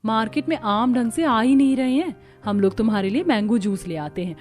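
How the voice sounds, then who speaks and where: A woman narrating and voicing characters in a cartoon story, expressive and conversational. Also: on the high side, a little fast, soft background music. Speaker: narrator and voice actor.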